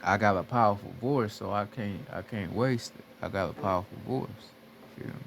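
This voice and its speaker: Gravelly man preaching casually. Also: lowish voice, fairly quick, a little hiss or hum. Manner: blunt, repetitive, heavy profanity. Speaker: spiritual teacher. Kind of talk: casual motivational monologue.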